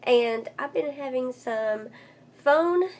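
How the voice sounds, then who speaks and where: Nasal, higher-voiced woman vlogger with background noise. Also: lively intonation, takes it slowly, darkish tone. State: congested, recovering from lost voice. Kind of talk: casual update vlog, reading aloud from a book. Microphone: phone. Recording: clear background noise, phone recording.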